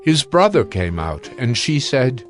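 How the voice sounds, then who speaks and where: A man narrating scripture from a script in a measured, solemn manner. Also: mid-range voice, sing-song.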